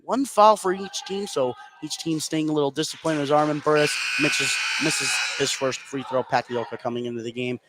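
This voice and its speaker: Man, sports play-by-play, slightly high pitch, clipped present-tense phrasing, live broadcast, clearly noisy gym ambience. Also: normal speed, hardly any pauses. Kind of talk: live basketball radio commentary.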